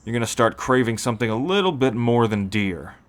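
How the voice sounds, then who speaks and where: A man playing a seasoned, calm werewolf who explains pack ways matter-of-factly in an ASMR roleplay. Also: mid-range voice, fairly quick, lightly acted.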